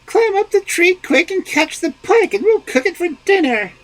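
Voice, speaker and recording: A voice performing a nagging old peasant wife in a storybook reading, with a shrill, exclamatory manner. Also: female voice, very animated, normal speed, no room sound. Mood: scolding.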